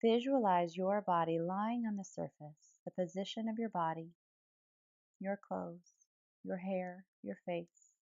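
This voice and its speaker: A woman guiding a yoga nidra meditation in calm, gentle phrases with pauses between them. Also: on the low side, creaky, no echo, muffled recording. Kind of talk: guided relaxation meditation, soothing instruction.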